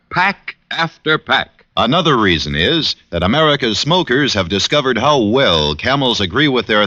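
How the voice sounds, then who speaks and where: A man's voice: mid-range voice, sharp tone, no echo. A male radio announcer reading a vintage sponsor's commercial with an emphatic, polished sales delivery. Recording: muffled old radio recording.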